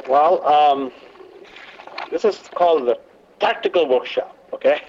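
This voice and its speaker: A man leading a church workshop talk, loud but not booming, halting with many fillers and quizzing the audience. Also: expressive, a little hiss or hum. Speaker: workshop teacher. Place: meeting room, away from the podium. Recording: dull lo-fi recording.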